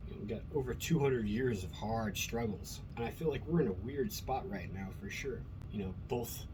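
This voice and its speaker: A man's voice: on the low side, normal speed. A middle-aged man from Colorado with an American accent, talking in a casual, rambling monologue with an earnest, blunt, freely swearing manner. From Colorado, USA. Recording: noticeable background noise.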